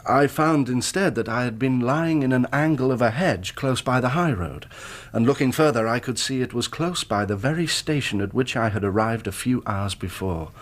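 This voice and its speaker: An actor playing a shaken young engineer in a radio drama, telling his story in formal, measured sentences. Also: medium pitch, lively intonation, lightly acted. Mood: bewildered. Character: shaken, earnest young male engineer recounting his ordeal.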